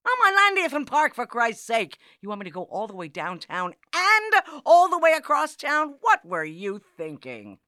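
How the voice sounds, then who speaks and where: Woman acting fed-up wife, sarcastic, emphatic, animated pitch swings, close dry sound, comedic audio drama. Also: normal speed, hardly any pauses, no echo, heavily acted. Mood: exasperated, lecturing. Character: exasperated, argumentative, sarcastic New York wife in banking.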